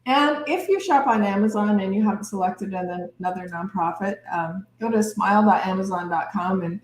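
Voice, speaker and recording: A woman's voice: mid-range voice, normal speed. A woman giving informal announcements as host of a livestream. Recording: roomy, echoey livestream with faint noise.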